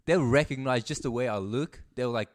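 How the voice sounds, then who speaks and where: A man's voice: mid-range voice, a little fast. A man originally from Afghanistan talks through a loose podcast chat, stopping and restarting with fillers and breaking into laughs. His voice sits in a middle range. The sound is dry and close, with no room echo. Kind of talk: casual chat.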